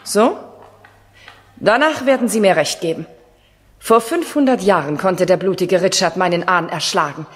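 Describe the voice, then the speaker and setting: An actress playing a haughty, shrewd English castle lady with a grand, measured manner in a 1970s German radio play. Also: on the low side, close to an everyday voice. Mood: scornful. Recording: reverberant castle hall acoustics.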